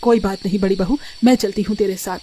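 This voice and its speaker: A woman's voice: mid-range voice, steady tone, fast talker, dark tone, a little hiss or hum, close to an everyday voice. A woman voicing a mild, patient older mother-in-law in a cartoon story. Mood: accommodating. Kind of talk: acted cartoon story dialogue.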